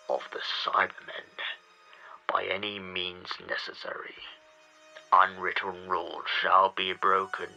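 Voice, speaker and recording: A man playing a grave, resolute Time Lord president in a sci-fi audio drama, giving a solemn, halting formal wartime address. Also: low-pitched, bright, forceful tone, exaggerated voice, rough recording.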